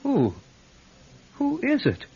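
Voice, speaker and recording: An actor playing a nervous, easily startled photographer in a radio drama, halting and stammering as he talks. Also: extremely high pitch, wildly swooping pitch, gravelly, warm tone, heavily acted. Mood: alarmed, baffled. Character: nervous, guilt-haunted male studio photographer, easily startled. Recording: dull band-limited radio drama.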